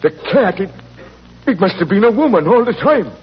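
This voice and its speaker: Flustered, stammering old-time radio servant. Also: male voice, high voice, very animated, normal speed, slightly rough, heavily acted. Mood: nervous, evasive. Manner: stammering, deferential. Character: flustered, grieving working-class male servant, evasive. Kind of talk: 1940s radio acting. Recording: dull, lo-fi 1940s radio recording.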